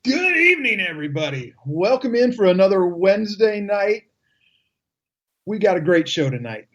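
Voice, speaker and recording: A man's voice: high voice, sing-song, normal speed, fairly bright tone. A man hosting a casual radio talk show, chatty and upbeat, with stammered restarts. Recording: slightly dull lo-fi sound.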